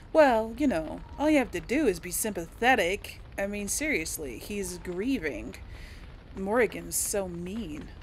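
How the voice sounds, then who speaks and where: A woman's voice: mid-range voice, lively intonation, takes it slowly, slight background noise, low-quality recording. A woman hosting a casual role-play let's play, chatting to her audience as she plays. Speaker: host of a role-play game series.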